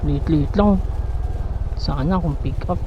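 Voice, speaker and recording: A woman's voice: low-pitched, takes it slowly. Playful Filipino woman on a muffled intercom. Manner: playful, teasing, breaks into bits of song. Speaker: motorcycle rider vlogging on a ride. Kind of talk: casual chat over intercom. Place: outdoors on a moving motorcycle. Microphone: helmet intercom. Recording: dull lo-fi intercom, loud wind and engine noise.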